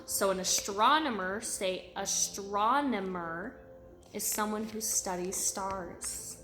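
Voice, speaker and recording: A woman's voice: mid-range voice, slow, pushed and bright, reverberant, faint music behind. A woman preschool teacher reading aloud to young children, encouraging them with simple repeated phrases and questions.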